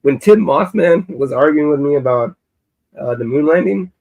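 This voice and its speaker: A man guesting on a live-streamed video chat, telling animated stories and laughing often. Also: fairly high pitch, normal speed, vocal fry, low-quality recording. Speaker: musician guest.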